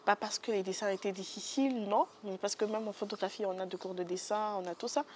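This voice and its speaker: A young woman with a Congolese French accent, a photographer being interviewed in a studio, soft-spoken and hesitant with many pauses. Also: medium pitch, steady tone, slight background noise. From Democratic Republic of the Congo. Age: young adult. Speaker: guest photographer. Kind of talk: interview answers.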